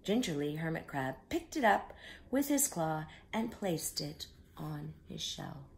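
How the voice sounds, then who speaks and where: A woman reading a story aloud to young children, explaining simply with drawn-out emphasis like a teacher. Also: deep voice, sing-song, gravelly.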